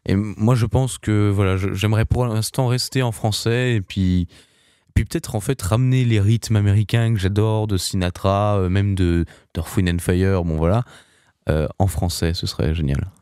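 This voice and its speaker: A young male singer speaks in a very low, deep register, answering radio interview questions casually. He hesitates a lot, restarting phrases and filling gaps with small sounds. The recording is dry and close, with no room sound. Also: steady tone, moderate pace, warm tone, clear, resonant tone, no echo. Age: young adult. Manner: hesitant, many restarts and fillers.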